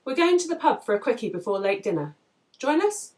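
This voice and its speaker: A woman's voice: fairly high pitch, very animated, brisk, a little mellow, voice pushed up. Woman reading as a cheery tipsy lady mate down the pub, chatty, exclaims stuff, novel dialogue, voice goes up. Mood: cheery, welcoming.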